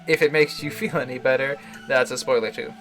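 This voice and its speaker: A man giving casual, halting live commentary on a visual novel, chuckling to himself as he rambles. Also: mid-range voice, steady tone, fairly bright tone. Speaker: game streamer, host. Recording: game music behind the voice. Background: video game music.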